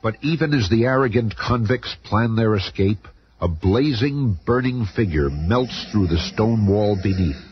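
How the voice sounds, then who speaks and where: A man's voice: fairly low pitch, expressive, measured pace. A man narrating an adventure radio serial in a grave, melodramatic announcer style. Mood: urgent. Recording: noisy, muffled old radio recording.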